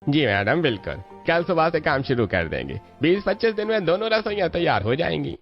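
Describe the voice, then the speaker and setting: Man voicing an obliging builder, high male voice. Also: big pitch swings, a little fast. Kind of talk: Hindi cartoon story dialogue. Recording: dull lo-fi audio with music.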